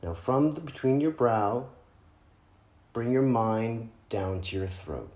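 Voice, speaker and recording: A man with a dark, relaxed voice leads a guided meditation in a roomy, echoing space. He gives gentle instructions in short, spaced phrases, and his pitch rises and falls freely as he goes. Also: slow pace. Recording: roomy, echoing room sound.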